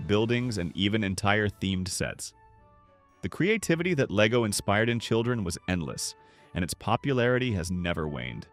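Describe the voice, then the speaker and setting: Low-voiced male narrator with lively intonation. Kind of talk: scripted documentary narration.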